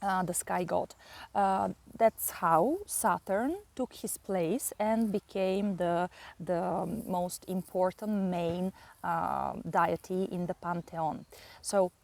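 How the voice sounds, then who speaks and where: A woman with a Bulgarian accent, a native Bulgarian speaker, explaining astrology and mythology with many pauses and fillers. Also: fairly low pitch, fairly even intonation, vocal fry, darkish tone. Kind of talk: slow explanatory astrology talk.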